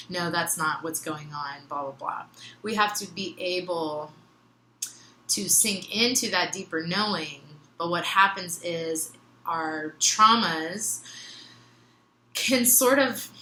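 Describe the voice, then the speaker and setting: A woman's voice: fairly low pitch, bright, forceful tone. A young adult woman whose first language is not English gives an earnest, meandering reflective monologue, with fillers and frequent laughs. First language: other than English. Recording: echoey room, faint ambient noise.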